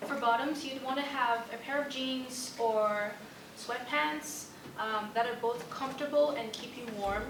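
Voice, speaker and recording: Young woman from Ghana, a bit high-pitched, easygoing chatty delivery; distant mic, music bed. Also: roomy sound. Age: young adult. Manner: relaxed, chatty, hesitant fillers. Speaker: international student. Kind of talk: casual informational video.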